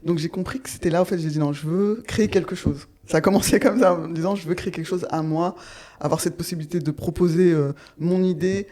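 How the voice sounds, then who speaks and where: Mellow-voiced Parisian-born woman in her thirties. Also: lowish voice, fast talker. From Paris, France. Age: 30s. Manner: reflective, hesitant with many fillers. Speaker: podcast guest, nurse and entrepreneur. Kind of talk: casual interview chat.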